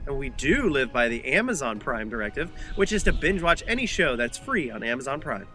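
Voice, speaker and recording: A man's voice: medium pitch, big pitch swings, fairly quick, pushed and bright, soft background music. A man playing a sulky, sarcastic alien sidekick in a comic audio drama, petulant and dry. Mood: deadpan.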